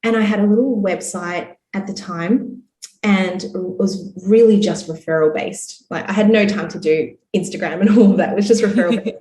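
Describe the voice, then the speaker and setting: A woman's voice: medium pitch, normal speed, warm tone. A woman in her thirties with an Australian accent, chatting as a podcast guest in an upbeat way, full of "you know" and "like". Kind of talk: casual interview storytelling. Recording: roomy echo.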